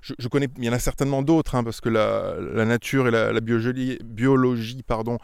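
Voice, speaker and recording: Gravelly-voiced middle-aged winemaker. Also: male voice, medium pitch, steady tone, fairly quick, a little mellow, no echo, studio quality. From Auvergne, France. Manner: thoughtful, repeats words while explaining. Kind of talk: casual interview. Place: outdoors among vineyards.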